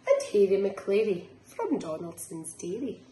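A woman's voice: mid-range voice, huge pitch swings, a little slow, dark tone. A middle-aged Scottish woman reading a picture book expressively to young children in a rhythmic, sing-song way, like a nursery teacher. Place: small living room, indoors. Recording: roomy echo, faint background noise.

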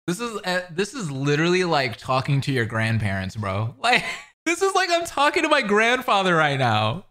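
A young man hosting a reaction video, giving casual, animated commentary. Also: on the high side, moderate pace. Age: young adult. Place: small indoor room, gaming chair. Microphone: close microphone on a boom arm.

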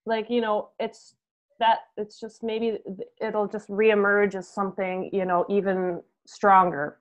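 A woman's voice: mid-range voice, flat delivery, a little slow. Canadian woman, dull video-call sound. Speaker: band musician being interviewed. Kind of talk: casual interview chat. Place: room at home.

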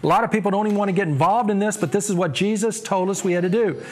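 A man's voice: high-pitched, rapid-fire, background noise. A man in his sixties speaking as a pastor and TV host, making an earnest, preacherly appeal in an interview. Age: 60s. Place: small TV studio living-room set. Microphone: lapel.

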